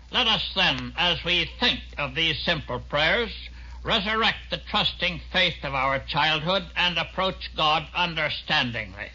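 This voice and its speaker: An older man reading a scripted 1940s radio address in a formal, earnest, measured manner. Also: on the high side, sharp tone. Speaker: company president giving a holiday address. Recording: dull vintage 1940s radio recording.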